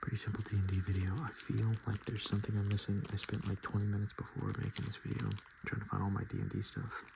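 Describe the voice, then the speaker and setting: A man softly murmuring and whispering in a casual, rambling ASMR style as he hosts his video. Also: fairly low pitch, monotone, fast talker, gravelly, hardly any pauses, background noise. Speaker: ASMR video creator and host.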